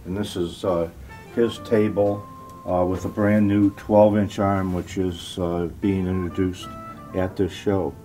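A man in his sixties explaining products off the cuff as an exhibitor, halting with frequent pauses. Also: low-pitched, level tone, dark tone, faint music behind. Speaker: loudspeaker exhibitor. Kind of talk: unscripted product walk-through in an interview. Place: carpeted hotel room at an audio show.

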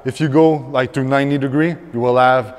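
A man's voice: medium pitch, steady tone, brisk. Man coaching a workout in a gym. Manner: explains step by step with frequent fillers and restarts. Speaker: fitness coach. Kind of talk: instructional workout explanation. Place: large indoor gym.

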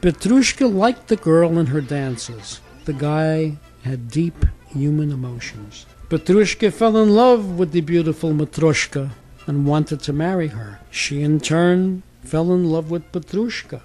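A man's voice: fairly high pitch, expressive, unhurried, darkish tone, soft background music. A man reading a scripted fairy tale as a storyteller.

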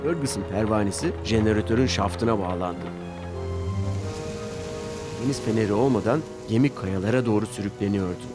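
A man's voice: lowish voice, fairly quick, long pauses. A man narrating a scripted children's story as its storyteller. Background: music under the voice.

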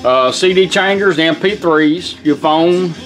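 A man's voice: on the high side, slow. A plain-spoken man casually walking through a hands-on product demonstration step by step. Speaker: radio restorer demonstrating products. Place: indoor workshop. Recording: music from demo radio behind voice.

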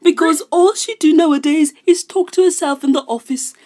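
A woman's voice: very high voice, moderate pace, darkish tone. A woman playing a gossipy, stressed government secretary in a radio comedy, chatty and confiding, with a Jamaican accent. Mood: amused gossip. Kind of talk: radio comedy drama acting.

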